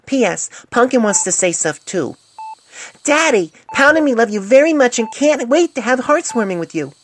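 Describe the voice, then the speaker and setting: A woman's voice: a little high, pitched above the performer's own voice, natural voice. A woman voicing an affectionate, earnest little pony foal with childish, simple phrasing in a fan fiction story. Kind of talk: fan fiction audio story, letter read aloud. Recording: noisy amateur cell phone recording.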